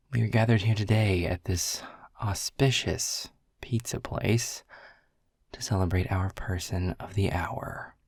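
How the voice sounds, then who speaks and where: A man as a gruff sarcastic boss. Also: a little mellow, no room sound, exaggerated voice. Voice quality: low gravelly husky voice. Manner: sarcastic, grumbling, dry teasing. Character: gruff world-weary male middle manager boss. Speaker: boss giving a farewell speech. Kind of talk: audio roleplay acting. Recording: dry close mic.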